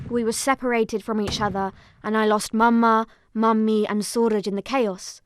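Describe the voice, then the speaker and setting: A woman's voice: mid-range voice, no echo, natural voice. A woman playing a young girl survivor, giving dramatised first-person narration of an attack. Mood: grave.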